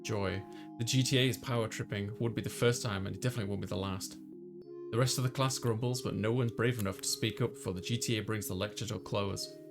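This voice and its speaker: Guy doing a casual visual novel readthrough, gravelly fry in his voice, faint music behind. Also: fairly low pitch, steady tone, fast talker. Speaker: let's player reading a visual novel aloud. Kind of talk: narrating a visual novel in first person, casual playthrough.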